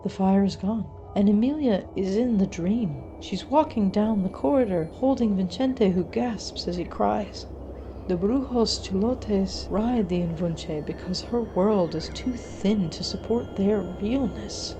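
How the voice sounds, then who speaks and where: A woman reading a scripted horror story in a measured, dramatic storytelling manner. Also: mid-range voice, over music, poor audio. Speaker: story narrator.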